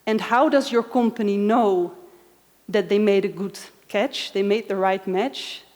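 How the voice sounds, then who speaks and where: A woman's voice: medium pitch, a little slow, darkish tone. A woman in her thirties from the Netherlands with a Dutch accent, giving a friendly conference talk and asking the audience questions. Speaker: conference speaker. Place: large conference hall. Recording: echoey hall.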